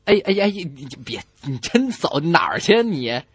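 A woman's voice: deep voice, normal speed, breathy edge, dry recording. Female comedy radio co-host, very varied intonation, playful joke-teller, dry studio. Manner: animated, teasing, breaks into song. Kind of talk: playful scripted joke-telling banter.

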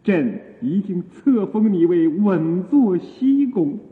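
An actor playing a lecherous, imperious emperor in a theatrical radio drama, with pompous, drawn-out courtly speech and a coaxing, self-satisfied air. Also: male voice, high-pitched, sing-song, heavily acted. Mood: excited, pleading. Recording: muffled phone-like audio, long echoing reverb.

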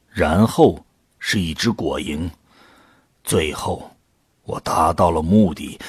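A man playing a brooding volunteer who narrates his own story in a measured, solemn audio drama style. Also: sits low, slightly rough, dry recording, clean studio sound, full character voice. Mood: resolute. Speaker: narrator and lead actor. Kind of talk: scripted first-person drama narration. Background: music.

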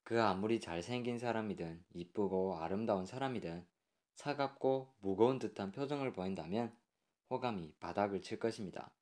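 A man's voice: lowish voice, moderate pace, a little mellow. A man hosting a show, reading listener stories and counting off dating tips in a friendly, instructive way. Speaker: radio-style show host. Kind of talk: casual chat and advice segment.

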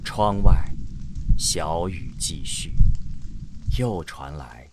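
A man's voice: low voice, expressive, drawn-out words, background noise. A man giving dramatic scripted narration for a science-fiction audio drama.

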